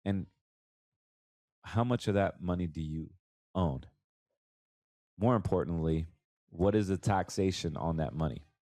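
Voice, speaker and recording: A man's voice: low-pitched, measured pace, long pauses, dark tone, no room sound, clean studio sound. An American man with a stuffy, congested voice, hosting a conversational podcast as a financial advisor and repeating words for emphasis. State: congested from allergies. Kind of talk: solo podcast commentary, conversational.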